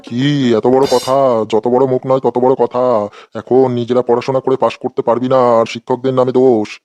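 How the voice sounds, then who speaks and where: A man's voice: medium pitch, level tone, moderate pace, a little mellow, no room sound, muffled recording, soft background music, close to an everyday voice. A man playing an irritable, sarcastic schoolteacher who threatens his pupils in a comedy cartoon. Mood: angry, sarcastic. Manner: scolding, sarcastic. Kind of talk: exaggerated comic cartoon dialogue.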